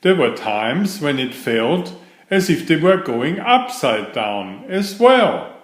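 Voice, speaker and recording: Slow, lively male storybook reader. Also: a little high. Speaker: children's story reader and author. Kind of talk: scripted storybook narration, read aloud. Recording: roomy, echoing sound.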